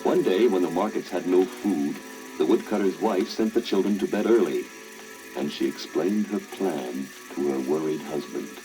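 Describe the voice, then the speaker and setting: A man's voice: sits low, normal speed, low-quality recording. A man narrating a scripted children's fairy tale as a storyteller. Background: music.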